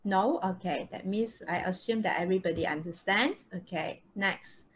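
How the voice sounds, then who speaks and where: A woman's voice: mid-range voice, normal speed, slight background noise. A woman with a Malaysian accent teaching a live online lesson, often checking in with okay and right. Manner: frequent checking questions, tag words like okay and right. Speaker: English teacher. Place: indoors, online video call.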